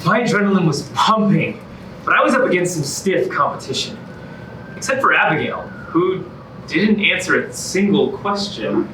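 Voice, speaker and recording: A man's voice: high voice, animated, normal speed, pushed and bright. A man playing an eager, wry contest competitor, narrating a stage comedy in a conversational way. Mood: wry, keyed up. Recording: distant echoey stage recording, faint noise.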